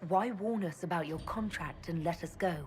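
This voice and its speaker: An actress playing a composed, diplomatic leader in a video game, speaking directly and in a measured way. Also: female voice, fairly low pitch, darkish tone, close to an everyday voice. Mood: guarded. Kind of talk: video game cutscene acting.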